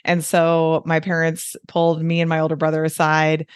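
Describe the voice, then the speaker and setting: A Midwestern American woman in her forties talks easily as a podcast guest. Her voice sits fairly low, and it is recorded very dry and close. She chats along, dropping in fillers and small laughs. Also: completely flat delivery, moderate pace, clean tone, dry recording. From United States. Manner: chatty, with frequent fillers and light laughs. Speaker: guest, weight loss coach. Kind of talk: casual podcast conversation.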